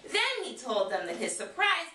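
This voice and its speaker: A young adult woman reading a children's storybook aloud with expressive, animated delivery. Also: on the high side, moderate pace. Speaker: guest storybook reader. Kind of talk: scripted storybook narration read aloud. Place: small furnished room, indoors. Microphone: camera mic across the room. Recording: roomy, distant camera microphone.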